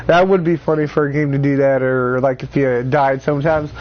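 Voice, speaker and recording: A man streaming a game in casual chat. He sounds congested from a cold, gets excitable, laughs often and swears. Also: fairly high pitch. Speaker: gameplay streamer. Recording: dull lo-fi audio with faint music.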